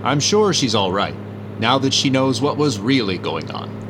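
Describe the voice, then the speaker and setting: An actor playing an assured gentleman detective, speaking in a measured 1950s radio drama manner. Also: medium pitch, expressive, noisy background, natural voice. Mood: assured, explanatory. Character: calm, confident gentleman amateur detective explaining.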